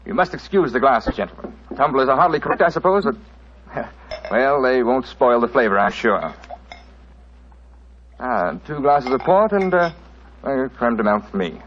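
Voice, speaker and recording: A man acting a suave, excitable expatriate rogue in an old radio drama. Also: medium pitch, moderate pace, pauses often, a little hiss or hum. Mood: affable. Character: suave, excitable expatriate English deserter, smooth impostor. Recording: muffled vintage radio recording.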